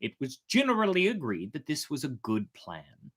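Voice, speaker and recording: A storyteller narrating a folk tale in a theatrical way, with drawn-out, emphatic words. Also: mid-range voice, wildly swooping pitch, fairly bright tone, breathy edge. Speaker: storyteller, host of a storytime show.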